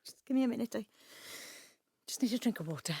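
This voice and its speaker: An actress playing a guarded, dutiful grown-up daughter with a South Wales accent, restrained and hesitant, in an audio drama. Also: female voice, a little high, lively intonation, fast, creaky, mellow, a little hiss or hum. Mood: wistful, upset. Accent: South Wales Welsh. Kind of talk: naturalistic audio drama acting.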